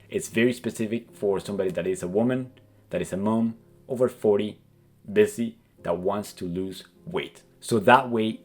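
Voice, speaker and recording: A man's voice: lowish voice, darkish tone. A man from South America with a South American accent, earnestly explaining his plans in a casual vlog, peppered with "like" and "kinda". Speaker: YouTube creator and business coach. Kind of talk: casual talking-head vlog.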